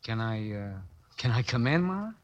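An American actor playing a troubled, impulsive champion boxer in a 1950s radio drama, emotional in delivery. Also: male voice, medium pitch, sing-song, moderate pace, muffled recording, natural voice. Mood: subdued, hesitant. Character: hot-headed, troubled champion prizefighter, a man. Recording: vintage radio recording with music.

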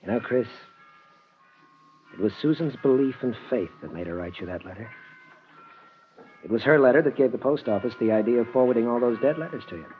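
A man's voice: medium pitch, brisk, pauses often, natural voice. A man playing an earnest, practical lawyer talking with a friend in a 1940s radio drama. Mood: warm, curious. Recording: muffled 1940s radio broadcast with music.

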